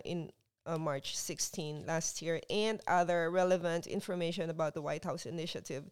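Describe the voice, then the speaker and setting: A woman hosts a chatty interview show with a smooth, clear voice. She is excitable and tends to ramble as she goes. Her sound is close and dry, with very little room to it. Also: medium pitch, no echo. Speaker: podcast host.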